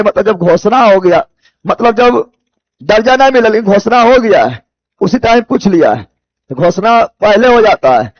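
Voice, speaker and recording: A man's voice: high-pitched, no room sound, lo-fi sound. A man from Bihar with a Bihari accent teaching an exam coaching class, repeating key phrases and quizzing his students as he goes. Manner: repeats key phrases, asks students rhetorical check questions. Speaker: teacher for competitive exam general knowledge classes. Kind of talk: live coaching lecture, drilling by repetition.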